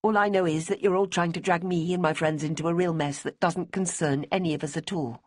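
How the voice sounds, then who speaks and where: A woman reading an audiobook, voicing a reluctant florist who talks in a firm, defensive way, slightly above her own pitch. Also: fairly even intonation, brisk, no echo, studio quality, natural voice. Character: plain-spoken woman florist, reluctant and exasperated.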